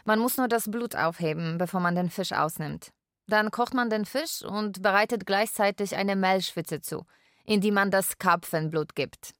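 An actress playing a polite, persistent young Polish carer in a radio drama, with careful phrasing, both narrating and speaking. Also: female voice, medium pitch, moderate pace, no room sound, studio quality, close to an everyday voice. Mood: friendly, curious. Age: young adult. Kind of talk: radio drama, part narration in third person.

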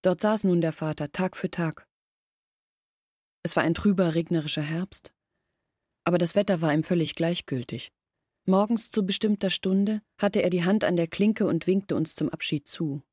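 A woman's voice: lowish voice, moderate pace, a little mellow, no echo, clean studio sound, lightly acted. An actress as earnest, grieving young village woman. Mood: sorrowful, earnest. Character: grieving young village woman recounting her family's downfall. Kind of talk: literary radio drama narration.

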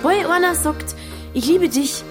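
A woman with a Bavarian accent playing a brisk, unsentimental village innkeeper in an audio drama, blunt and teasing. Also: very high-pitched, over music. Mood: argumentative. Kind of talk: audio drama dialogue in dialect.

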